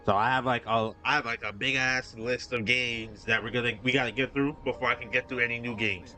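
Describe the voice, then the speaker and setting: A man's voice: medium pitch, fairly even intonation, a little fast, sharp tone, faint music behind, low-quality recording. A man streaming a game, chatting casually with his viewers and rambling through restarts. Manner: rambling, restarts sentences, talks to chat. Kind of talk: casual live-stream chat.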